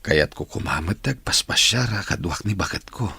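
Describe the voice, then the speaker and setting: A man with a Filipino accent playing an ailing, regretful older father in a radio drama, with a husky, rough voice. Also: deep voice, expressive, moderate pace, bright, forceful tone, dry recording, heavily acted.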